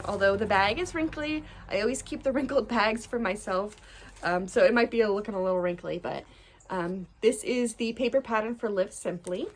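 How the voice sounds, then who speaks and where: A young woman hosting a casual craft vlog, her nose a little stuffed up from allergies. Her voice sits a bit high, and she talks in a chatty, rambling way with frequent ums and little laughs. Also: a little hiss or hum. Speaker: cross-stitch designer hosting a flosstube. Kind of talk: casual show-and-tell chat to camera.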